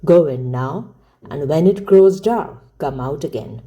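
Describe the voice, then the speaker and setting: Storybook grandfather, woman performer: elderly man, lowered voice, firm, few words, gruff with hidden kindness. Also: animated, a little slow, warm tone, muffled recording. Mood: firm, kindly. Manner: curt, firm. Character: gruff, curt old mountain grandfather, secretly kind.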